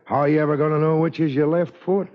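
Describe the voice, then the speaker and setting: An actor playing an upright, plain-spoken, stubbornly principled Old West shotgun guard in a 1940s radio drama. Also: male voice, on the high side, steady tone, fast, close to an everyday voice. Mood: earnest. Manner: plain-spoken, earnest, folksy. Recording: muffled old radio recording.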